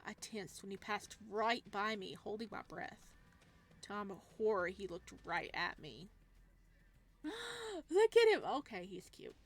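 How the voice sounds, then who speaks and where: A woman with a medium-pitched voice hosts a visual novel playthrough. She reads the narration aloud and adds casual, excitable reactions, with a giggly manner. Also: measured pace. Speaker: gaming channel host.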